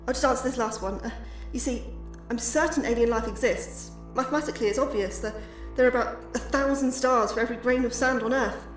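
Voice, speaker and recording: A woman acts an earnest, anxious academic in a scripted audio drama. Her voice is on the high side and proud in manner, with a light music bed. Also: fairly quick, hardly any pauses, natural voice. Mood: measured, guarded.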